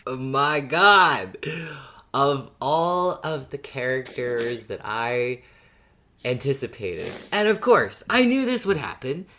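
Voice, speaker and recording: A podcast co-host talking casually as a fan, animated and emphatic, with some swearing. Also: medium pitch, takes it slowly, a little hiss or hum.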